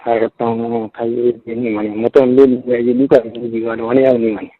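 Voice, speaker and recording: A man with a Marwari accent speaks at an everyday middle pitch over a dull, lo-fi phone call. He talks casually and privately, often repeating short phrases and questions. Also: flat delivery, fast, dry recording. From Rajasthan, India. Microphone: telephone. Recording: muffled lo-fi phone call recording.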